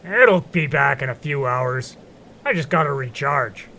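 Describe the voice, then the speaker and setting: A man's voice: mid-range voice, expressive, a little slow, gravelly, sharp tone, a little hiss or hum, exaggerated voice. A man playing a laid-back, reluctant but kindly young magician in an audio drama, casual and wry. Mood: easygoing.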